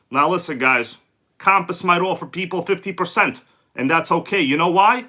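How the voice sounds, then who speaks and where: A man with a bright, slightly high voice hosts a casual livestream. He has a sore throat and sounds congested. He talks in a loose, slangy way and keeps greeting viewers by name. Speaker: livestream host. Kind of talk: casual live-stream chat.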